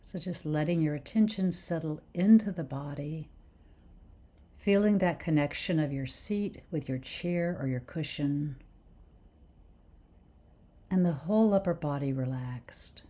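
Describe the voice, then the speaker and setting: A woman leading a guided meditation with soothing, gentle instruction in unhurried phrases. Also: deep voice.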